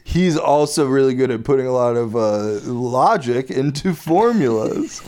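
A man's voice: medium pitch, lively intonation, unhurried, darkish tone, slight background noise. A man in casual late-night comedic chat, riffing jokes and laughing often. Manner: giggly, riffing, frequent laughter.

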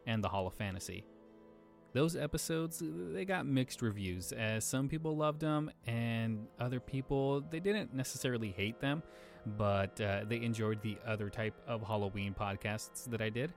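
A male podcast host with a fairly low voice delivers a scripted introduction. Quiet music plays underneath him.

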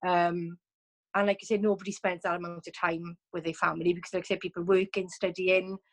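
A chatty woman with a fairly low voice, talking as a guest over a video call. She keeps going with many ums and restarts. Her tone is easy and conversational. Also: level tone, a little fast.

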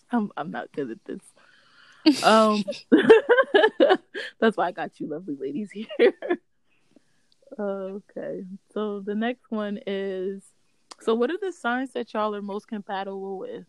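A woman's voice: mid-range voice, animated, takes it slowly, pauses often, no echo. A woman in her early twenties hosting a podcast in a casual, chatty, informal way. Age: early 20s. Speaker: podcast host.

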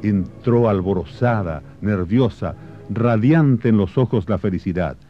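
A man playing a solemn storyteller, narrating patriotic history and memories in a measured, literary radio drama style. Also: lowish voice, natural voice. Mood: solemn, reflective. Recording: muffled radio broadcast, faint music behind.

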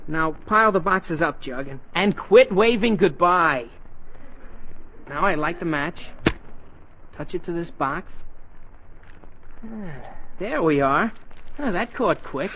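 A man's voice: fairly high pitch, animated, slow pace, long pauses, sharp tone, a little hiss or hum, heavily acted. An actor playing an earnest, awkward, eager teenage boy in 1940s radio comedy style. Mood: busy, absorbed. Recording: dull, muffled 1940s radio recording.

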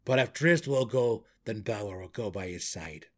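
A man voicing a gruff, stubborn, loyal male deep gnome warden in a fantasy audiobook, growling and exclaiming indignantly. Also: expressive, moderate pace. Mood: grudging, determined. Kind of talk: fantasy audiobook character acting.

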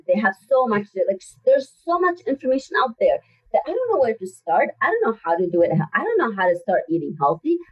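Husky woman, distant and muffled. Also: medium pitch. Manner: animated storyteller who acts out voices in quotes. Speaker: guest, health coach. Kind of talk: casual interview chat. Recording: distant, dull lo-fi sound.

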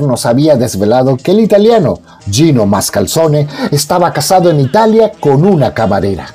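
A male narrator with a fairly high, animated voice and a mellow tone. He tells a radio soap opera in a melodramatic, scripted style. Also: breathy edge.

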